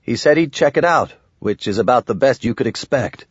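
A man's voice: medium pitch, animated, brisk, husky, no echo, dull audio. A man voicing an irreverent, folksy adventurer who talks in loose, slangy, plain terms in an audiobook. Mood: wry, casual. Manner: colloquial, slangy, loose grammar.

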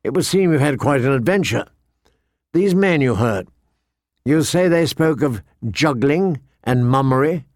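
A man plays a noble lord and father in an audiobook. He is formal and measured, stern yet fond, in a mid-pitched voice with a very dry, close sound. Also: expressive, a little mellow, no echo, natural voice. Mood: sceptical, patient. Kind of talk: audiobook character dialogue.

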